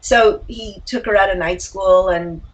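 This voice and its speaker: An older woman talking reflectively as an interview guest, a writer and actor who tells personal stories haltingly, with candid asides. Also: medium pitch, low-quality recording.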